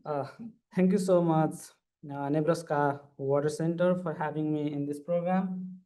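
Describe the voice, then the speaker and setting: A man's voice: a little high, steady tone, a little slow, warm tone. Earnest man presenting. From Nepal. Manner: earnest, formal, walks through slides step by step. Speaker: PhD student panelist. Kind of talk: conference panel presentation.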